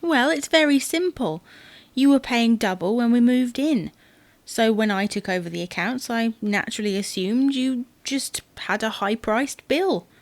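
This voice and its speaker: Needling wife, played by a female actor, slightly high voice, defensive and matter-of-fact, pointed retorts for domestic audio comedy, dry sound. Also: measured pace, no echo. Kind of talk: comedy audio drama dialogue.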